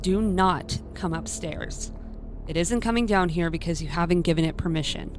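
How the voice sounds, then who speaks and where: A woman voicing a tough paranormal hunter in an audio drama, talking in a brisk, commanding way. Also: on the low side, close to an everyday voice. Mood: determined. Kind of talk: radio play acting. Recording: audio drama over a music bed.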